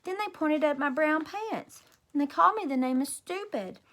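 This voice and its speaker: A woman reading a children's story aloud in an expressive, emphatic way, like a teacher. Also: sits high, normal speed. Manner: animated, emphatic.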